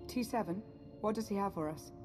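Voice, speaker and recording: A woman playing a composed, earnest resistance leader in a video game, with a calm, authoritative manner. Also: medium pitch, fairly quick, close to an everyday voice. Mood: firm. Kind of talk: video game dialogue acting. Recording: music under the dialogue.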